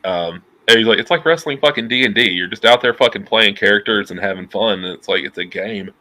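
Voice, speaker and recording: A man's voice: fairly low pitch, fairly quick. Nasal man swearing freely on a call. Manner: profane, animated, gesturing a lot. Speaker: guest. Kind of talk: casual interview chat. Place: dim room at home, indoors. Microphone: earbuds on a video call.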